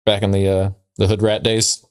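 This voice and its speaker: A man's voice: fairly low pitch, flat delivery, normal speed, clean tone. A man co-hosting a casual podcast, bantering and reading letters aloud in a conversational way. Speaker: podcast co-host.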